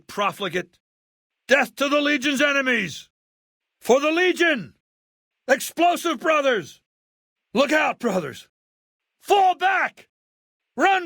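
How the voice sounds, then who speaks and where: A man playing an arrogant, ruthless middle-aged warlord dictator in a video game, speaking in a haughty, deliberate, condescending way. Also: extremely high pitch, pushed and bright, dry recording, studio quality, exaggerated voice. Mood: shouting in battle. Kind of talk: video game voice lines and monologue.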